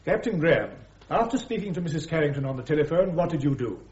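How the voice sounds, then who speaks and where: An actor playing a formal, probing British army major prosecuting at a court-martial in a radio drama. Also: male voice, fairly high pitch, very animated, fast, a little mellow. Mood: pressing. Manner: formal, precise, questioning. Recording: roomy, dull radio drama recording.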